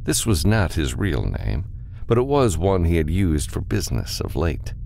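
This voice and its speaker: A man's voice: low-pitched, very animated, moderate pace, gravelly, darkish tone, a little hiss or hum. A man reading a science fiction audiobook as narrator, in a dramatic scripted style.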